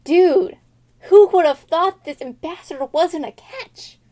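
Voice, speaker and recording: A woman's voice: extremely high pitch, measured pace, mellow. A woman retelling a fairy tale casually and comically, with slangy, theatrical, quirky delivery. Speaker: storyteller.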